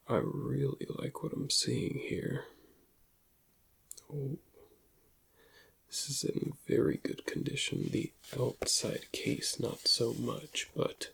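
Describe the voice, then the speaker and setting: Whispering man with heavy gravelly creak. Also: mid-range voice. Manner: slow, hushed, lingering delivery. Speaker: ASMR roleplay performer. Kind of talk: whispered ASMR roleplay.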